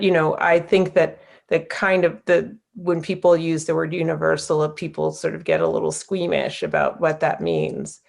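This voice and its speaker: A woman reflects in a panel conversation about art, talking slowly and thoughtfully. Her voice sits very low, with a gravelly grain. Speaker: panel moderator.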